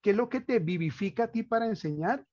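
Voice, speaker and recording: Man, high-pitched voice, didactic panel talk, repeated phrases, rhetorical questions, echoey muffled recording. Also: expressive, fast talker, darkish tone. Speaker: speaker at an educational panel. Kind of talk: reflective lecture to teachers. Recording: roomy echo, dull lo-fi sound.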